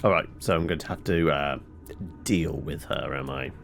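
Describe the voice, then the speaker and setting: A man's voice: very deep voice, moderate pace, creaky, fairly bright tone, soft background music. A man giving casual, wry gameplay commentary with offhand asides as he streams. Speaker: game streamer.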